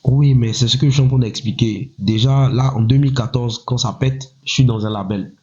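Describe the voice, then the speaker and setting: A man in his thirties from Côte d'Ivoire, a rapper speaking in a measured, self-assured way, often pausing on "voilà" and asking himself rhetorical questions. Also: medium pitch, steady tone, darkish tone. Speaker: rapper, interview guest. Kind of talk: casual interview talk. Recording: slightly muffled, lo-fi sound.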